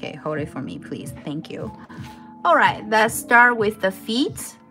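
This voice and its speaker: A woman's voice: mid-range voice, sing-song, unhurried, sharp tone, soft background music. A woman from Taiwan, a native Chinese speaker with a Taiwanese accent, hosting a casual live crochet tutorial with informal step-by-step instructions. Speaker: craft tutorial host.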